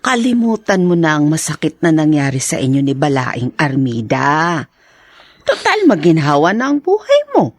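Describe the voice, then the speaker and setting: A woman playing a patient older mother in an audio drama, gently pleading with measured pauses. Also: low voice, huge pitch swings, no echo, clean studio sound, heavily acted. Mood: earnest pleading.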